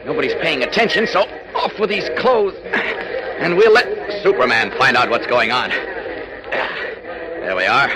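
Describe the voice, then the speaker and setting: An actor playing an earnest, decisive superhero who talks to himself in vintage radio drama style. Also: male voice, mid-range voice, expressive, moderate pace, pauses often, loud music behind the voice, lightly acted. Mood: urgent, decisive. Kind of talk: 1940s radio acting. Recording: noisy old radio recording.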